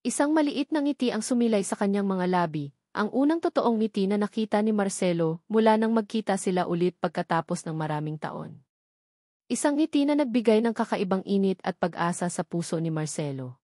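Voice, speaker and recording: A woman's voice: mid-range voice, animated, brisk, dark tone, dry recording, studio quality. A woman with a Filipino accent narrates a scripted romance story in a dramatic storytelling style.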